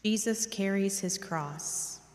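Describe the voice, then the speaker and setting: Middle-aged woman, devotional reader. Measured, prayerful, very echoey church sound at a lectern mic. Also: mid-range voice. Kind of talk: solemn scripted devotional reading. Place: large dim church, at a lectern. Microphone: gooseneck lectern microphone. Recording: echoey church with long reverb.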